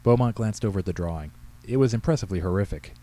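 Very mellow, lowish-voiced male story narrator. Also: expressive, fast. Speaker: author reading own story.

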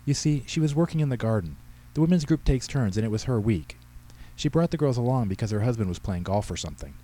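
Storybook church secretary, middle-aged woman, played by a man: flustered, apologetic, talkative, pitched up, soft mellow tone. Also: expressive, fast, lightly acted, rough recording. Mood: anxious explaining. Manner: chatty, anxious, apologetic explaining.

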